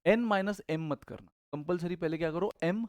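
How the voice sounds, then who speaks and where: A man's voice: high voice, a little fast, no echo. A man with an Indian accent teaching a math lesson while he has a sore throat. He calls his students guys and keeps asking questions and then answering them himself. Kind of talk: online exam-prep math lesson.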